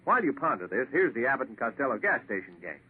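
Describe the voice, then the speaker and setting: Animated folksy male radio pitchman. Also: fast. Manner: folksy, persuasive. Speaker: advertising announcer. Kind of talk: folksy scripted radio commercial. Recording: tinny, hissy 1940s radio recording.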